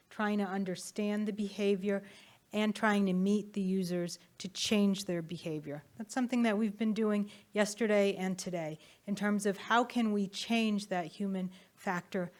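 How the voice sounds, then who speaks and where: A woman's voice: medium pitch, fairly even intonation, normal speed. Woman panel moderator, mid-range voice, off-the-cuff conference speech. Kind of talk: conference moderating, semi-spontaneous.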